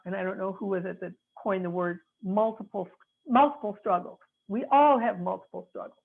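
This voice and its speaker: A woman's voice: mid-range voice, gravelly. A woman in her sixties from the American Midwest, an attorney giving earnest, frank advice while searching for her words. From North Chicago, USA. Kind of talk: reflective advice talk. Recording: tinny phone-like line.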